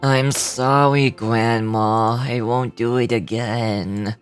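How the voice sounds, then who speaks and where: A woman's voice: rumbling low, steady tone, slow, smooth, faint music behind, voice pushed down. A woman voicing an earnest, deferential young man in game dialogue, polite and apologetic.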